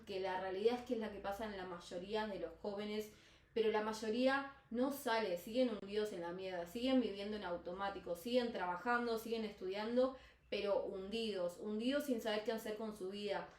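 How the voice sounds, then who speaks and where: A woman's voice: medium pitch, darkish tone, echoey room, distant mic. A young woman from Argentina with a Buenos Aires accent, speaking candidly in a rambling confessional vlog with many restarts. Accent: Buenos Aires Spanish. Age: young adult. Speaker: vlogger. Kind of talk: personal confessional monologue.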